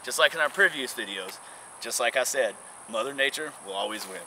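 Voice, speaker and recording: A man's voice: mid-range voice, expressive, fairly quick, pushed and bright, poor audio. Chatty young man touring an abandoned ballpark. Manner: folksy, chatty tour-guide talk. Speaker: urban exploration vlogger. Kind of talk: casual guided tour to camera. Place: outdoors at an abandoned baseball stadium. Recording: outdoor camera audio with noise.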